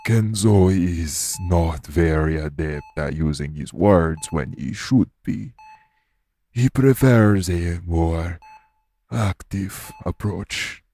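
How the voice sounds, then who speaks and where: A man playing a calm, courteous iguana-shifter archaeologist in an audio roleplay, speaking in a formal, measured, reassuring way. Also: low-pitched, heavily acted. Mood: measured, explanatory. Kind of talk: audio roleplay acting addressed to the listener.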